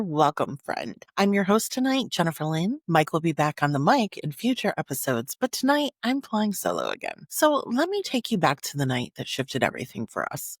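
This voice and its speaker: A woman's voice: fairly low pitch, no echo, studio quality. A woman hosting a podcast solo, narrating in a conversational, earnest and emphatic storytelling style. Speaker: podcast host.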